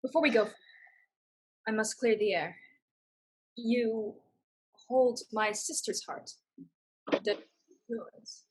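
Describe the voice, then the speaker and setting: A woman's voice: on the high side, slow, long pauses, slightly rough, poor audio. A woman playing a fierce, protective older sister, direct and firm, in a staged play reading. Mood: pointed, probing.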